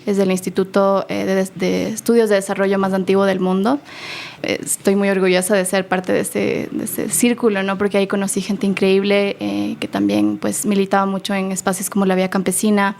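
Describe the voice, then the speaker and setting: A young woman with a gravelly, creaky voice answers interview questions. She is warm but halting and fills her pauses often. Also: mid-range voice, level tone, slight background noise. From Ecuador. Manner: warm, halting, many fillers. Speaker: guest, activist for pollinators.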